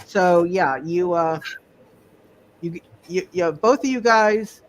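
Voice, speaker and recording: A game master runs a tabletop superhero session in a mid-range voice. The delivery is halting, with words and phrases often repeated. Some noise sits behind the voice. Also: mid-range voice. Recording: noticeable background noise.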